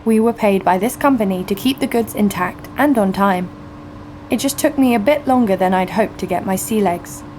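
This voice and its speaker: A woman's voice: mid-range voice, normal speed, noisy background, close to an everyday voice. A woman playing a wry, adventurous young ship's guard who narrates her own story with a sardonic touch in a scripted audio drama. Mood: playful, sardonic.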